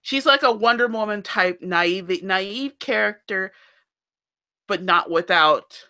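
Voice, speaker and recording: A woman with a somewhat nasal voice, co-hosting a live show, chatting in an animated way. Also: mid-range voice, slow. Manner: animated, laughs often. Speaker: podcast co-host. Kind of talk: casual chat about audiobooks.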